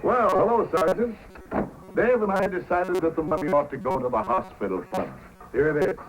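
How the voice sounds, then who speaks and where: An actor playing a good-natured, generous sled-dog owner in an old-time radio drama. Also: male voice, on the high side, lively intonation, moderate pace, noisy background, lightly acted. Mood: cheerful, generous. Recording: old radio recording with loud crowd.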